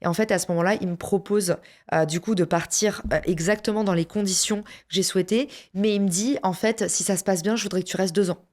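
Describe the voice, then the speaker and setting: A French woman telling a personal story in a casual interview, lively and slangy, with many fillers and restarts. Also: medium pitch, quick pace. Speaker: podcast guest, entrepreneur.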